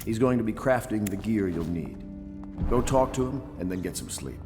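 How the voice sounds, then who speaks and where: A man's voice: lowish voice, animated, moderate pace, lightly acted. A man playing an earnest conspirator leader in a video game, firm and persuasive. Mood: reassuring. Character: earnest male conspirator leader recruiting a fighter. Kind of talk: scripted video game dialogue. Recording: long hall reverb, music behind.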